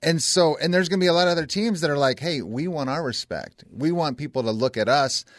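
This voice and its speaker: A man's voice: a little high, expressive, quick pace, no room sound, clean studio sound. A man co-hosting a sports talk show, giving conversational and emphatic opinions. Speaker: radio sports show co-host.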